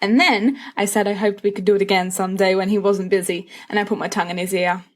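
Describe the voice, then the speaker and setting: A woman's voice: medium pitch, steady tone, quick pace, close to an everyday voice. A woman voicing a mischievous, dramatic teenage wizard schoolboy with a teasing, theatrical delivery in an audiobook-style story. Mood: gleeful. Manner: theatrical, teasing, flowery speech.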